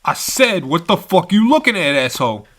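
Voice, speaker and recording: A man's voice: mid-range voice, animated, normal speed, sharp tone, husky. A man voicing an aggressive, foul-mouthed street thug in a comic dub, confrontational and taunting. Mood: menacing.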